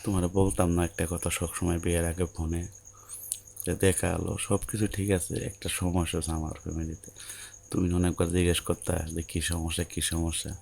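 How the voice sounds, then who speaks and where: Man acting anxious young groom, Bangladeshi accent, creaky, dark timbre, hesitant, soothing, audio story drama. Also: low-pitched, flat delivery, a little fast. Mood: coaxing, reassuring. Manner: hesitant, pleading, colloquial. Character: anxious newlywed groom, obedient son, soothing his bride.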